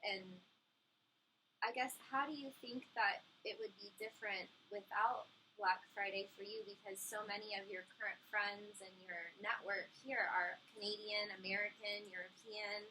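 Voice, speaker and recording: A woman hosting a casual interview, asking relaxed, engaged questions. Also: mid-range voice, normal speed, rough recording. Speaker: interviewer, host. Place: guest's home. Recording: audible room noise.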